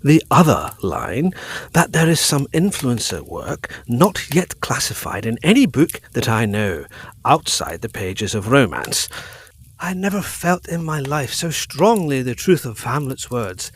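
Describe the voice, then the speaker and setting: An actor playing a learned, excitable doctor with a pedantic, emphatic, theatrical way of speaking in a period gothic audio drama. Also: male voice, mid-range voice, a little slow, breathy edge, no echo. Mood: earnest, speculative. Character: learned, excitable male doctor pondering mysteries.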